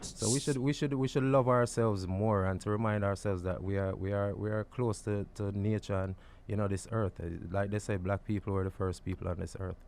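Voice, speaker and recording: A man co-hosting a radio talk show, easygoing and quick to agree. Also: lowish voice, fairly even intonation, a little mellow, a little hiss or hum. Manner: easygoing, agreeing often, repeats himself. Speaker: radio co-host.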